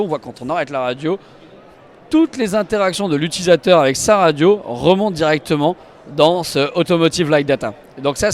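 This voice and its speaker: Guy w slightly high voice doing a live product demo at a trade show, keen and explaining stuff as he goes. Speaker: company representative demonstrating products at a trade show. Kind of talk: live walk-through product demonstration to camera. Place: trade show stand and inside a car.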